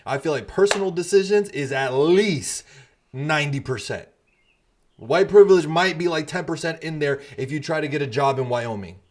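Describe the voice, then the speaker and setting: A man's voice: fairly high pitch, moderate pace. Blunt, emphatic male podcast host. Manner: blunt, emphatic, swears freely. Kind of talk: casual podcast debate.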